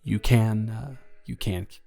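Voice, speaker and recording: A man's voice: on the low side, steady tone, unhurried, vocal fry, mellow, soft background music. A young man playing a nervous, lovestruck best friend in an improvised romantic roleplay audio, rambling and stammering as he speaks to the listener. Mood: tender.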